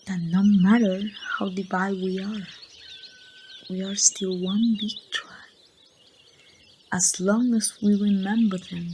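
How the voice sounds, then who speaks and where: Slow, soft-spoken grieving young woman played by an actress. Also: mid-range voice. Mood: solemn, encouraging. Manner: soft, halting, breathy delivery slipping toward whispers. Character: grieving young woman saying goodbye to her lost loved one. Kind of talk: amateur ASMR roleplay monologue. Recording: outdoor ambience with birdsong, faint noise.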